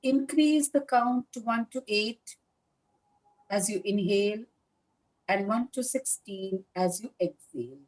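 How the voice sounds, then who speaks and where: A woman leading a guided meditation as a teacher, speaking in a calm, measured manner with long pauses between short phrases. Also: mid-range voice, poor audio. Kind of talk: guided meditation instruction, live session.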